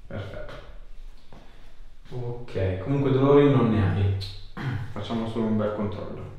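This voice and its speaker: A man's voice: on the low side, unhurried, muffled recording. A man, working as a chiropractor, giving a patient short, informal instructions and questions during an examination. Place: small clinic treatment room, indoors. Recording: echoey small room with noise.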